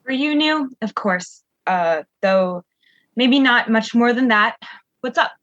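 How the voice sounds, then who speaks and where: A woman's voice: fairly high pitch, animated, takes it slowly, fairly bright tone, no echo, highs cut off. A woman playing a kindly, busy commander in a sci-fi radio drama, talking in a casual, reassuring way. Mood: friendly.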